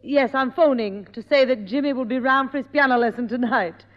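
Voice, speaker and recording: A woman's voice: sits high, fairly quick, natural voice. An actress playing a weary but firm mother. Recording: dull echoey old radio recording.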